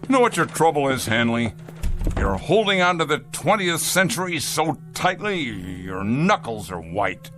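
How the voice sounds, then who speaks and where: A man's voice: on the high side, big pitch swings, unhurried, over music. A man playing a callous, profit-driven company boss in a scripted sci-fi radio drama, sarcastic and domineering, given to declaiming. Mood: brisk, condescending.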